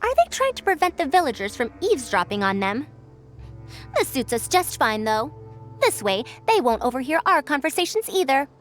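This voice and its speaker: A shrewd, high-voiced scholar. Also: female voice, sing-song, normal speed, a bit bright, faint music behind. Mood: analytical, suspicious. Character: shrewd, self-assured female scholar engineer, haughty and analytical. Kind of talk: scripted video game voice acting.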